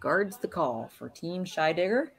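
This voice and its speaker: A woman giving calm, analytical live commentary on a curling match. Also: lowish voice, creaky, slight background noise. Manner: calm, analytical play-by-play with pauses.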